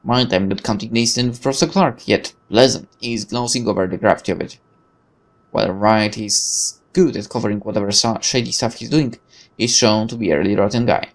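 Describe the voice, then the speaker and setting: A man's voice: on the low side, poor audio. Man wryly reading visual novel narration. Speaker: gaming video narrator.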